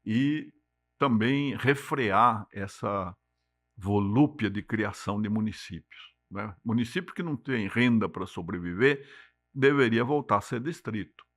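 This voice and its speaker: An older man with a São Paulo accent, speaking as an interview guest in a measured, explanatory way and often ending points with a tag question. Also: lowish voice, fairly bright tone, dry recording. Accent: São Paulo Portuguese. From Brazil. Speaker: interview guest, city climate secretary. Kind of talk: unhurried interview talk.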